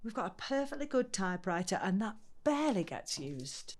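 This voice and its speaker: A woman's voice: mid-range voice, very animated, normal speed, darkish tone. An actress playing a patient middle-aged daughter, coaxing and explaining simply to her confused mother in an audio drama. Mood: chatty.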